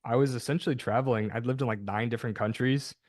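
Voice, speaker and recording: A man's voice: mid-range voice, fast, a little mellow, no room sound, studio quality. A man hosting an interview podcast, upbeat and chatty, restarting his sentences often. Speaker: podcast host.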